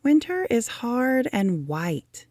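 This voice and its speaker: A high-pitched, excitable young rabbit, played by a woman reading a storybook. He is earnest and a bit forgetful, with a lively swing in his delivery and a close, dry sound. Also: slow, no echo. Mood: earnest, questioning.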